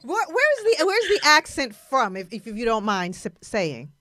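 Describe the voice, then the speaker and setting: Female talk-show host, high and bright, dry close sound, affirming interviewer. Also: huge pitch swings, moderate pace, dry recording. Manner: warm, encouraging, frequent affirmations. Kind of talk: interview chat.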